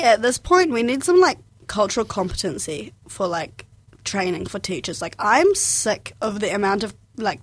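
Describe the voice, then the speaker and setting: Husky young woman with a Kiwi accent. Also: medium pitch. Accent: New Zealand. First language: Arabic. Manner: rambling, fills with "like" constantly. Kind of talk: casual personal chat.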